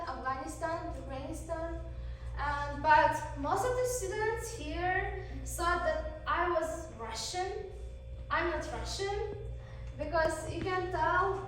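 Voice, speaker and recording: A sixteen-year-old girl from Uzbekistan, a native Uzbek speaker, giving a class presentation slowly and carefully, with pauses and fillers. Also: very high-pitched, fairly bright tone. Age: teens. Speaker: exchange student presenter. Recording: roomy, distant, faint noise.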